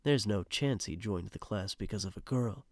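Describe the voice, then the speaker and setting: A man's voice: fairly low pitch, normal speed, over music, close to an everyday voice. A man narrating a scripted audio drama in a wry, teasing manner.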